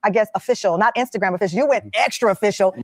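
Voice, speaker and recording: A woman's voice: medium pitch, rapid-fire, darkish tone, dry recording. A woman interviewing guests in a casual celebrity chat.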